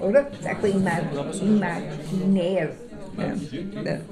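A woman playing a chatty figure who recalls everyday encounters in a colloquial, halting way for an audio drama. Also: medium pitch, fairly even intonation, a crowd in the background, natural voice. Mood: musing, amused. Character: chatty woman recalling home-care visits and acquaintances. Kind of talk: casual anecdote telling. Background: accordion music.

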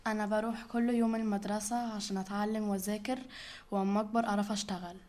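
A young teenage girl, a native Arabic speaker, with a mellow, dark voice talks very fast. Her delivery is rapid and soft. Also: mid-range voice, little pitch movement, slight background noise. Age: young teen.

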